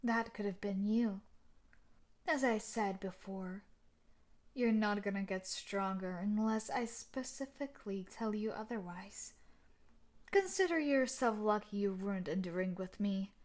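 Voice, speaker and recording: A woman's voice: medium pitch, unhurried, close to an everyday voice. A woman playing a tough, sadistic, possessive bully and martial artist in a roleplay audio drama, taunting and condescending. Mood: contemptuous, threatening.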